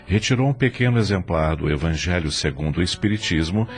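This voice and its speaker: A man's voice: sits low, animated, brisk. A man with a Brazilian accent reading dramatic scripted narration for an audio drama. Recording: music under the voice.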